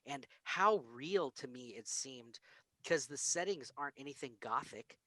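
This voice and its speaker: An American man on a podcast, telling personal stories in casual film discussion with an animated, acted-out delivery. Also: mid-range voice, unhurried, no echo. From United States, grew up in Springfield and Texas. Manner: animated storyteller, acts out quoted lines. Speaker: podcast co-host.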